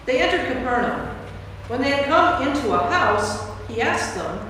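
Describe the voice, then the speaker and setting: A woman's voice: high-pitched, very animated, moderate pace, fairly bright tone. A woman reading scripted narration for a staged Bible reading. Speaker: narrator, priest. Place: large church interior. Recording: echoey church hall from far off, faint noise.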